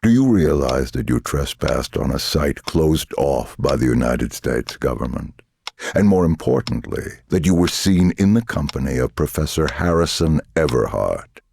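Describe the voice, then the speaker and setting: An actor playing a menacing, condescending male government interrogator in a dramatic video game, speaking deliberately. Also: animated, a little mellow, slight background noise, exaggerated voice. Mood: stern, accusing. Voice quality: deep, gravelly.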